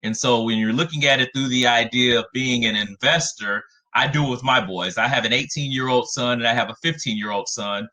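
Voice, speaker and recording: A man in his forties, a financial advisor giving a webinar talk in a measured way, often starting with "again". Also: mid-range voice, bright, forceful tone, poor audio. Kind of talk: webinar presentation.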